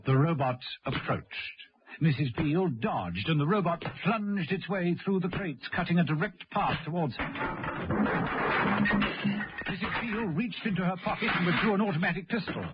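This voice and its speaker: A man's voice: high voice, moderate pace, loud music behind the voice. A man delivering dramatic scripted narration for a radio drama. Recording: noisy, dull old radio recording.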